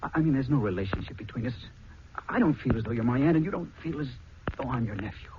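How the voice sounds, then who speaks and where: A man's voice: mid-range voice, animated, quick pace, lo-fi sound, lightly acted. An actor playing a lovesick, impetuous young man in a 1940s radio drama, earnest and pleading. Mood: yearning. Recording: noisy, band-limited 1940s radio recording.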